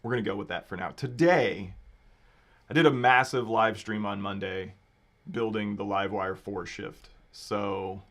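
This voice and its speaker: A man with a slightly raspy voice giving casual live coding commentary, thinking aloud informally as a developer hosting a livestream. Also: fairly low pitch, measured pace, a bit bright. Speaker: livestream host and software developer. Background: computer keyboard typing and clicking.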